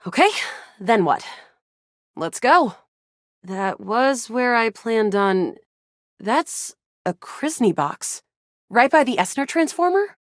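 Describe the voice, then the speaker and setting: A feisty young sci-fi adventurer from a video game. Her voice sits high and swings widely with excitable, playful drama. The sound is dry and close, with no room around it. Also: slow, long pauses, dry recording. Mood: urgent. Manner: animated, dramatic, playful banter. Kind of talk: video game character voice acting.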